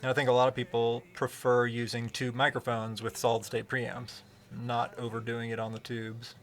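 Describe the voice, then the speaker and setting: Low-voiced man rambling, flat and even. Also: moderate pace, soft background music. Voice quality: fairly low voice. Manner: rambling, hesitant, many fillers and restarts. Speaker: streamer talking about microphones. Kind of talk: casual livestream chat.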